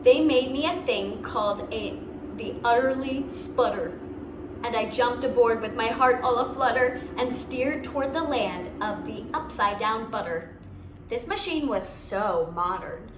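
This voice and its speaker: A woman reads a children's picture book in a fairly high voice at an unhurried pace. She is expressive and deliberate, pausing for simple asides. Some noise sits behind her. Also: echoey room. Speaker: storyteller reading a picture book aloud. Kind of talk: children's read-aloud with asides. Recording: noticeable background noise.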